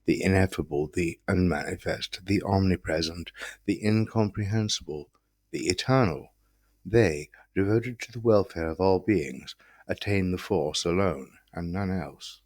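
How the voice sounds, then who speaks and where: A man reading scriptural quotations solemnly, with stately, deliberate and emphatic phrasing. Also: low-pitched, a little mellow, no room sound. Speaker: reader of quotations.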